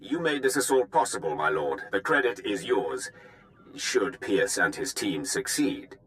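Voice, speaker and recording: An actor voicing a dutiful military general in a video game, giving a briefing in a formal, measured, deferential way. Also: male voice, lowish voice, very animated, fairly bright tone, rough recording. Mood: grave, respectful.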